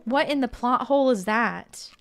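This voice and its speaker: Young female streamer, chatty and bubbly, a bit high. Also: normal speed, gravelly, faint music behind. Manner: bubbly, chatty, reads game text aloud. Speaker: gaming streamer. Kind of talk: casual let's-play commentary.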